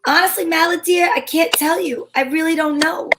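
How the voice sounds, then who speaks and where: Woman playing high-voiced plucky lady detective Candy. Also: normal speed, noisy background. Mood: coy, indignant. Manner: dramatic storytelling. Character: plucky wisecracking female private eye Candy. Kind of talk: old-time radio detective acting, first-person narration.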